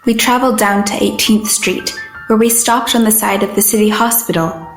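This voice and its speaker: A woman's voice: mid-range voice, fairly even intonation, moderate pace, soft background music, close to an everyday voice. An actress playing an earnest, anxious young woman in a period audio drama, hesitant and wistful with proper, old-fashioned phrasing. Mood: wistful narrating. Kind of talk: period audio drama acting with first-person narration.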